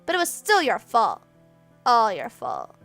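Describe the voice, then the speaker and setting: A smug, cruel young noblewoman, put on by a woman reading aloud, lifts her voice higher than the narration and drips with taunting disdain. The tone is bright and cutting, quick and sneering. Soft music plays faintly behind. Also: big pitch swings, heavily acted.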